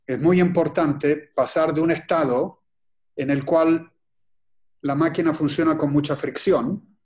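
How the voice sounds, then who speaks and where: Halting, slightly high-voiced man from Chile. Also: steady tone, darkish tone. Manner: halting and deliberate, frequent "eh" and restarts. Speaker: guest presenter. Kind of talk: webinar presentation. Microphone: video call.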